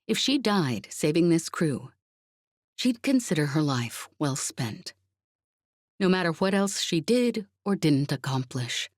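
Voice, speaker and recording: A woman's voice: sits low, big pitch swings, takes it slowly, dry recording, studio quality. A woman reading a scripted novel aloud as an audiobook narrator.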